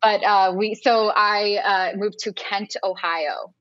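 A woman's voice: medium pitch, steady tone, slow, fairly bright tone, dry recording. A young woman from Lebanon, speaking as a guest on a video call, chatty, with many fillers and asides. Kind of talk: casual video call interview. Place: home room with window. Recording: dull lo-fi video call audio.

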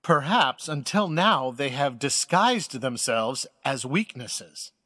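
A man playing an animated, husky old space admiral. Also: medium pitch, no room sound, clean studio sound, full character voice. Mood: earnest mentoring. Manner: measured, formal, earnest mentor's delivery. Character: wise old male space admiral, secretive, mentoring, patient. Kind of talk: audio drama acting.

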